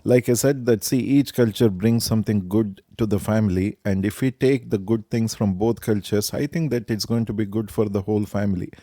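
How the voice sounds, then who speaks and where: A middle-aged man, answering family interview questions in a measured, reflective way. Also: on the low side, dark tone, no room sound, studio quality. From India. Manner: measured, reflective, some hesitations. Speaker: interviewee, stepfather.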